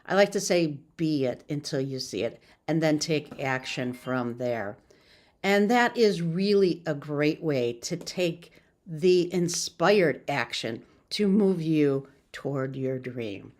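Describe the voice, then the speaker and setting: A woman's voice: low-pitched, animated, takes it slowly, a little mellow. A woman giving an informal, encouraging motivational talk as a success coach, sometimes stumbling over her words.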